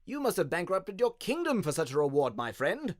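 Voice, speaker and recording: A man's voice: sits high, huge pitch swings, fairly quick, very noisy, voice pushed up. A man voicing a plump, genial, slightly nervous king with a chatty, lilting delivery. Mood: genial, amused.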